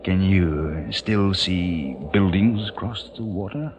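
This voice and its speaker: An actor playing a very old, nearly blind patriarch in a 1940s radio drama, wistful and earnest, halting and repeating his phrases. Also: deep voice, darkish tone, slight background noise. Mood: wistful, yearning. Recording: muffled old radio recording with music.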